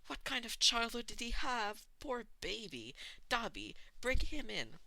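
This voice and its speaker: Reader doing a dramatic motherly villain, high n bright, fussing over everyone. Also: sing-song, unhurried, vocal fry, dry recording, full character voice, poor audio. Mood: shocked pity. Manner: gasping, fussing. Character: doting, motherly, dramatic villain gang member.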